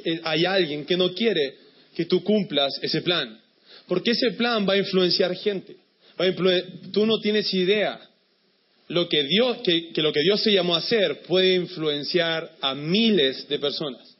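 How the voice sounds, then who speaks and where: A man from Chile with a blended Chilean-Ecuadorian-Uruguayan accent preaches to a congregation. His voice sits a bit high and he sounds relaxed but encouraging. The recording is dull and lo-fi. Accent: mixed Chilean, Ecuadorian and Uruguayan. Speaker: guest pastor. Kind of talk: sermon. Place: church in Quito.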